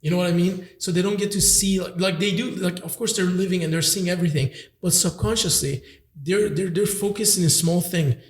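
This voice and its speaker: A young man of nineteen talks loosely on a podcast, his voice sitting a little high. He circles his point with fillers and false starts. The room around him rings with echo. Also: brisk. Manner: rambling, many fillers and restarts. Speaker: podcast host. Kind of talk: casual chat. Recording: echoey room.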